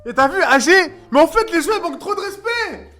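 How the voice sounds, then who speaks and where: A man hosting a casual talk stream, stammering and repeating words as he banters excitedly in slang. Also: very high voice, fast, slight background noise. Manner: excitable, animated, interrupting. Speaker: stream host taking callers' opinions. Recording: music behind the voice. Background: background music.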